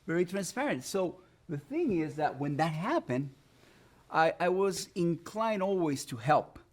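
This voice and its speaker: Earnest Puerto Rican-accented man, higher voice. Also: sing-song. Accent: Puerto Rican Spanish. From Puerto Rico. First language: Spanish. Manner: reflective, earnest storytelling with pauses. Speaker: immigration attorney guest. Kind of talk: conversational podcast interview.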